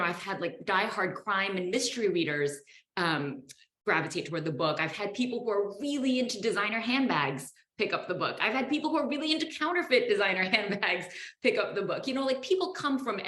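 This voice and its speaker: A woman's voice: mid-range voice, expressive, fairly quick, a bit bright, roomy sound. A woman author talking casually and thoughtfully about her book, with many fillers and restarts. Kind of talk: casual interview chat.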